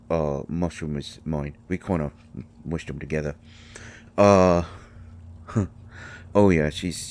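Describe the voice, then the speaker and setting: A man playing a tiny, gruff but shy and caring potion-brewing healer in an audio drama, with a mottled British accent and a halting, stammering delivery. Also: low-pitched, darkish tone. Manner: halting, stammering, mumbling asides. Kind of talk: intimate roleplay audio drama monologue.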